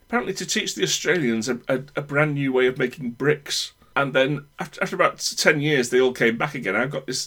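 A man with a husky, breathy edge to his voice chats on a podcast. He meanders through long anecdotes, pausing and restarting as he searches for details. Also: medium pitch, fairly bright tone. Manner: meandering, self-correcting storyteller. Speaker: podcast guest. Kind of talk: casual chat, rambling anecdotes.

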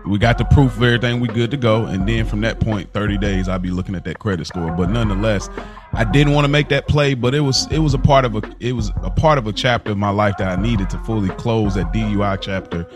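A man's voice: on the low side, steady tone, quick pace, music in the background. An American man, a podcast co-host, tells personal stories in slangy, casual conversation. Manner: slangy, conversational, often addressing his co-host as bro.

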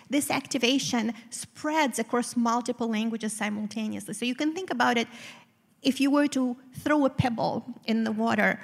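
A woman's voice: a little high, gravelly. Woman professor on a hall stage. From Eastern Europe. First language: Romanian. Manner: measured, explanatory, with frequent "uh" and "um". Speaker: guest, professor. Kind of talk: explaining on a live stage interview. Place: theater stage before a live audience. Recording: reverberant theater hall.

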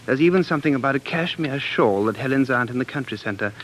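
A man's voice: medium pitch, lively intonation, quick pace, a little hiss or hum, close to an everyday voice, rough recording. An actor playing an earnest, dreamy man who is obsessed with the past, narrating intensely in 1940s radio drama style. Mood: eager, absorbed. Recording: vintage 1940s radio broadcast with music.

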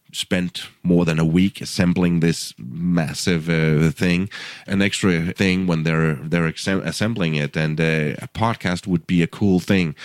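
A man's voice: low-pitched, no echo, studio quality. A Danish man in his fifties, a radio producer and podcaster, telling his story as a guest with frequent restarts and repeated words, speaking English as a second language. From Denmark. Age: 50s. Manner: repeats words and restarts often, chuckles, animated when quoting himself. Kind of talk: casual interview storytelling.

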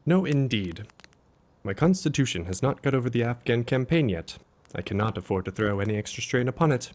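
An actor playing a loyal, concerned army doctor in a Victorian mystery audio drama, with an earnest, formal way of speaking. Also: male voice, mid-range voice, expressive, fairly quick, darkish tone, natural voice. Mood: disapproving.

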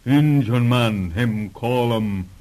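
A man playing a terse, wary tracker in a 1940s radio drama, speaking slow, halting, broken English. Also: mid-range voice, a little mellow, natural voice. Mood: foreboding.

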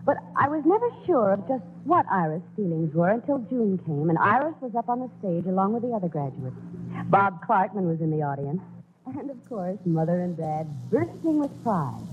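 A woman's voice: medium pitch, big pitch swings, moderate pace, a little hiss or hum, close to an everyday voice. An actress narrating as a grown daughter fondly recalling her family in a 1940s radio drama. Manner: fond, storytelling. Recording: dull old radio broadcast recording.

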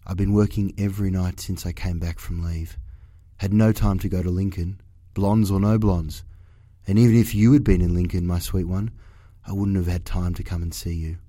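A man acts a lovesick 1940s airman reading his letter to his sweetheart. The voice is very low and soft-edged, tender and intimate, recorded very dry. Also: steady tone, moderate pace, no room sound. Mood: affectionate, resigned.

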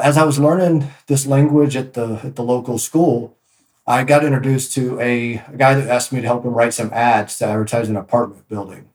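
Man telling stories in an echoey room. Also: medium pitch. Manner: relaxed, rambling with many restarts and fillers. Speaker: podcast guest, real estate agent. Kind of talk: casual interview storytelling.

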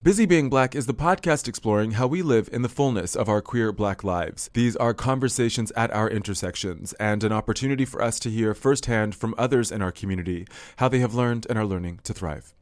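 A man hosting a podcast, reading a smooth scripted intro and asking conversational, sometimes hesitant questions. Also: lowish voice. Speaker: podcast host.

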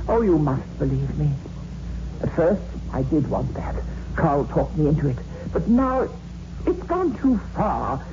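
A woman's voice: low-pitched, sing-song, unhurried. Urgent, desperate woman of vintage radio suspense. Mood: urgent, pleading. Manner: urgent, earnest, dramatic emphasis. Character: desperate, pleading scheming wife in a suspense tale. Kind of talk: old-time radio suspense drama acting. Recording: dull old radio recording, faint noise.

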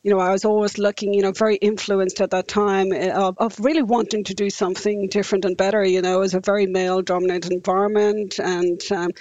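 A woman's voice: medium pitch, level tone, fast, hardly any pauses, darkish tone, no echo, dull audio. A woman in her fifties from rural Ireland with an Irish accent, a guest in a podcast interview who often repeats words and restarts her sentences. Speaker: interview guest, engineering company director.

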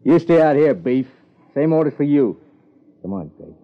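An actor playing a tough, blunt gangster in a 1950s American radio crime drama, talking in slangy threats with an American accent. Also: male voice, fairly high pitch, sing-song, normal speed. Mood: threatening. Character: tough, blunt male gangster just out of prison. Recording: muffled, noisy old radio broadcast.

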